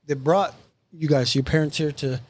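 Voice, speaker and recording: A man's voice: fairly high pitch, normal speed, a little hiss or hum. A middle-aged man hosting a casual podcast conversation, chatty and easygoing, laughing often. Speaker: podcast host.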